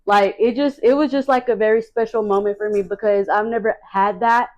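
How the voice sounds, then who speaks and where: A woman around thirty chatting casually in a couple's Q&A video, soft-spoken and reflective. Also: medium pitch, fairly even intonation, fairly quick, highs cut off. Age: 30s. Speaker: vlogger, expecting mother.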